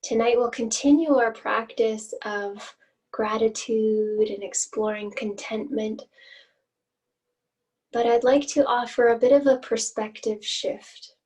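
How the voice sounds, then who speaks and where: Female meditation guide, higher-pitched and very unhurried, gently inviting, heard a little way off the mic. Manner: gentle invitational phrasing with long pauses. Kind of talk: guided meditation, slow and instructional. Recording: voice distant from the mic.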